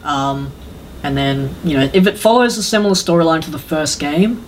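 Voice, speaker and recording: A man's voice: on the high side, animated, normal speed, a little hiss or hum. A man chatting casually as a podcast co-host, rambling with enthusiasm and some hesitation. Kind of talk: casual chat about games and films.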